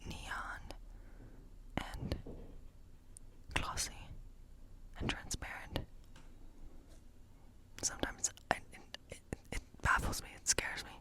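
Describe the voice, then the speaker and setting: A woman playing a quirky, playfully spooky witch in a whispered ASMR role play, murmuring haltingly and repeating words to herself. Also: close to an everyday voice. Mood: musing, bashful. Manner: hushed, halting, muttering repetitions to herself.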